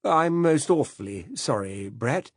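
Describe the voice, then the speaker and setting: A man's voice: medium pitch, lively intonation, unhurried, slightly rough, no echo, studio quality, pitched above the performer's own voice. A man voicing an elderly, absent-minded upper-class British official who stammers and apologizes. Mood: dithering.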